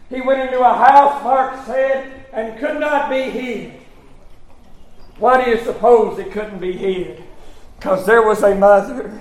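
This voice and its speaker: A man preaches in a very high, strained-up register at a slow, deliberate pace. His delivery is an impassioned revivalist sermon with drawn-out, emphatic words. The sound is echoey, as in a roomy church. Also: a little mellow. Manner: emphatic, drawn-out words with frequent "uh" punctuating phrases.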